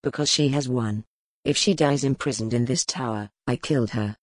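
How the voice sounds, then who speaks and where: Stern male duke, curt and commanding, deep, dry close read, put on by a woman narrator. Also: normal speed, dry recording. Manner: curt, authoritative.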